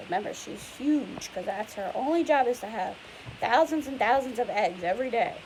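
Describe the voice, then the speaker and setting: A woman with a fairly high voice reads a children's picture book aloud like a teacher. She explains patiently in simple words and asks the listener questions. There is some hiss behind her. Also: animated, moderate pace. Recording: clear background hiss and noise.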